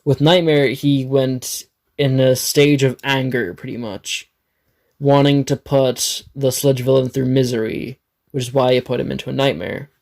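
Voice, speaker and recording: A man with a raspy, dry throat, narrating an improvised fan story, rambling and correcting himself. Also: medium pitch, steady tone, measured pace, darkish tone. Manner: rambling, self-correcting, swears.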